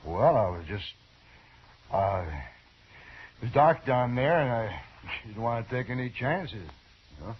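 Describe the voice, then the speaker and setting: A man's voice: medium pitch, big pitch swings, slow pace, pauses often, breathy edge, exaggerated voice. An American man playing a cornered, shifty hired gunman in a 1950s radio western, stammering and whining evasively. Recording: muffled vintage radio recording.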